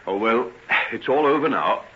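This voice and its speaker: Old radio play doctor guy acting, kindly n troubled, lowish but very lively voice, muffled old recording. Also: lightly acted. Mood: friendly, wistful. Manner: kindly, halting, soothing. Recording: dull vintage radio recording with noise.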